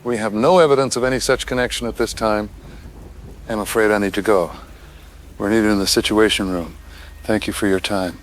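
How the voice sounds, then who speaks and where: Casting: man to play guarded defense official, lower-pitched, formal reassuring statements, slight background noise. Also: steady tone, fairly quick, pauses often, lightly acted. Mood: guarded, placating. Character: evasive male senior defense official, calm and guarded. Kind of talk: official statement in audio drama. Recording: faint background ambience.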